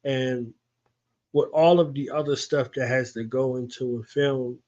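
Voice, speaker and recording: A man hosting a casual interview, talking enthusiastically and emphatically, often repeating his phrases. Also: medium pitch. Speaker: radio show host. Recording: slightly dull lo-fi audio.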